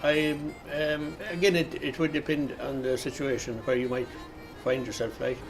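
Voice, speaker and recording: A middle-aged man giving a reflective interview, pausing and searching for his words. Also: medium pitch, flat delivery, soft background music. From Ireland. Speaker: local interviewee, Irish speaker.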